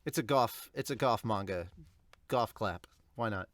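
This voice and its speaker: A man's voice: fairly low pitch, a little slow, dry recording. Guy doing a manga podcast, casual asides between the read lines, dry close sound. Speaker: podcast host dubbing and reviewing a manga.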